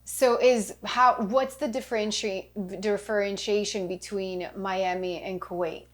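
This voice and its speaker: A woman hosting a podcast, chatting warmly with a guest and repeating words for emphasis. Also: medium pitch, fairly even intonation, a little slow. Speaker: podcast host and Pilates instructor. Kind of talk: casual interview chat.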